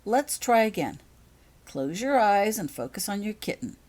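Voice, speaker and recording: Woman as a kindly, firm school matron, lively intonation. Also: medium pitch, unhurried, pitched above the performer's own voice. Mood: firm, encouraging. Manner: encouraging, patient, instructing.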